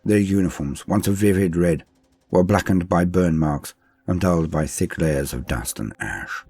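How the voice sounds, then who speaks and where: A man's voice: low voice, normal speed, mellow, slightly rough, no room sound, faint music behind. A man giving a solemn, theatrical dramatic reading of a science fiction war story as its narrator.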